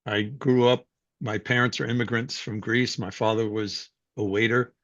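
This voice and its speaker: An older man from New York City, speaking as a guest and telling his life story in a reflective, unhurried way with frequent fillers. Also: medium pitch, flat delivery, a bit bright, dry recording. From New York City, USA. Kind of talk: personal life story, conversational talk. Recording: dull, dry sound.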